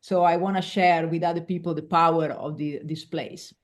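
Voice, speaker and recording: Italian woman speaking animated English. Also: low-pitched, normal speed. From Veneto, Italy. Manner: animated, often restarts words while searching for English phrasing. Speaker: guest, executive coach. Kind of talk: casual interview conversation.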